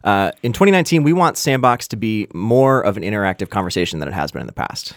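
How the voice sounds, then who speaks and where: A man hosting a studio podcast, friendly and casual, dropping "uh" fillers as he goes. He talks quickly at a middle pitch. The sound is dry and close with no room echo. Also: big pitch swings. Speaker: podcast co-host.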